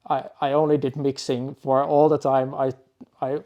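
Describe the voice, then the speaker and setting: Halting, thoughtful man from Finland. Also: mid-range voice, flat delivery, dark tone, dull audio. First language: Finnish and Dutch. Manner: halting, thoughtful, speaks with frequent restarts. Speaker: DJ guest. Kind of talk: podcast interview.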